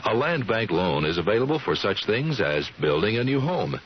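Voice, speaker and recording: A man's voice: fairly low pitch, sing-song, moderate pace. A man reading a scripted radio commercial as an announcer. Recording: muffled, hissy old radio recording.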